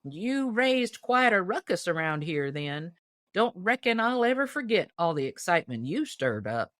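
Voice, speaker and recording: A woman's voice: on the low side, very animated, moderate pace, fairly bright tone, no echo, clean studio sound, voice pushed up. A woman playing a very old, shrewd country deacon in a storybook, piping and folksy in an old-timer's manner. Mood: folksy, reminiscing. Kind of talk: storybook character voice in audiobook reading.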